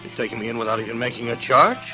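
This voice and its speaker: An actor playing an arrogant, blustering rich frontier schemer in a 1950s radio drama. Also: male voice, mid-range voice, rapid-fire, over music, natural voice. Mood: indignant. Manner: blustering, then pleading. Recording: old radio broadcast with faint hiss.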